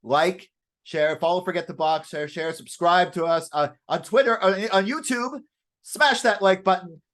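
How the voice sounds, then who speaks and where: A man co-hosting a casual, unscripted chat in a halting, rambling, self-deprecating way. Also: high voice, fairly bright tone. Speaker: co-host.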